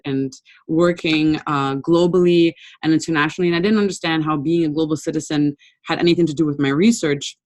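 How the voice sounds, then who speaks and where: A young woman from Brazil giving an informal webinar talk over a video call, conversational and relaxed with frequent ums. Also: low-pitched. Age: young adult. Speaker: webinar presenter.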